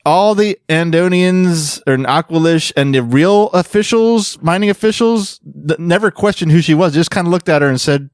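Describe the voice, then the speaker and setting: A man's voice: fairly high pitch, moderate pace, hardly any pauses, no room sound, clean studio sound. A man hosting a fan podcast, telling a story casually in a rambling way that often corrects itself. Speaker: podcast host.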